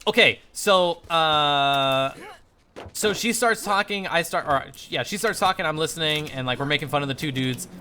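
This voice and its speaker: A young man telling a casual story while gaming on a livestream, animated and slangy, swearing freely. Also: on the high side, normal speed, a little hiss or hum. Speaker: live streamer.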